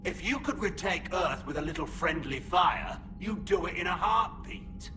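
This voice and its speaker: An actor playing a hawkish alien fleet admiral in a video game, proud, clipped and self-justifying. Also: male voice, high voice, animated, moderate pace, background noise. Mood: defiant.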